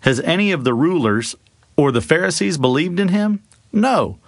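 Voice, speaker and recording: A man's voice: a little high, expressive, moderate pace, dry recording, clean studio sound, pitched above the performer's own voice. A man voicing a scornful, indignant male religious authority in a scripture reading, incredulous and retorting.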